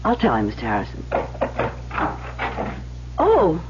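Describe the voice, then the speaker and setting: A woman's voice: deep voice, huge pitch swings, a little slow, full character voice. Shy, lilting young radio-drama woman. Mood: polite, businesslike. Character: lonely, shy young woman receptionist at a doctor's office. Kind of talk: old-time radio drama acting. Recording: muffled vintage radio recording with hum.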